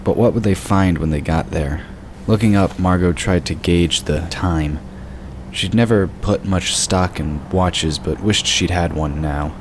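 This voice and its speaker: Very deep male narrator, noisy background. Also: measured pace. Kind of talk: scripted narration of a fantasy audio drama. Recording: clearly noisy, sound effects behind. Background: rumbling ambience and sound effects.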